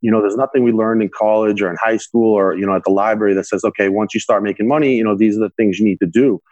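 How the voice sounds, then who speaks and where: Fast-talking man giving business advice. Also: lowish voice, fairly even intonation, darkish tone, no room sound, highs cut off. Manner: frequent "you know" fillers, earnest advice-giving. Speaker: podcast guest, entrepreneur. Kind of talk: conversational interview answers.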